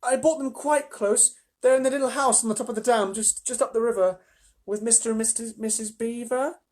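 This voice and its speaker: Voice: medium pitch, fairly even intonation, a little fast, a little mellow, voice pushed up, natural voice. A parent reading a storybook aloud, voicing a frightened young boy who stammers and pleads in a raised voice. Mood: pleading. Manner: stammering and eager.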